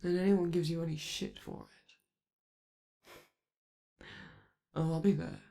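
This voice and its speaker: A flamboyant male robot celebrity, doting and gently teasing, as a man acts him out. The voice is dark and mellow with a gravelly edge, spoken close and soft like a romantic ASMR audio drama. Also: on the high side, fairly even intonation, a little slow. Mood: reassuring.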